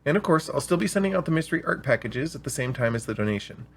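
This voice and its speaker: A man with a slightly rough voice, hosting and reading scripted stories aloud in an expressive, deliberate way. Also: on the high side. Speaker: storyteller and show host. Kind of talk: scripted story reading with spoken intro.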